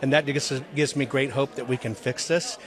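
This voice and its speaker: A man's voice: medium pitch, steady tone, normal speed. A man giving conversational interview answers, explaining things in an earnest, emphatic way. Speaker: interview guest, audit presenter.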